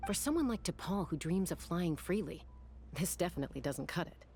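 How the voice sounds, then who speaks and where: An actress playing a cool, no-nonsense young warrior woman in a video game, speaking in a measured, decisive way. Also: fairly low pitch, expressive, heavy background noise. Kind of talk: English video game voice acting.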